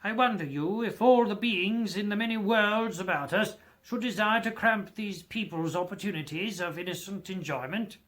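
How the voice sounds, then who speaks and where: A man's voice: high-pitched, moderate pace, hardly any pauses, fairly bright tone. A man voicing a humbled old miser in a storybook reading, earnest and questioning, with a subdued manner. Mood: earnest, challenging.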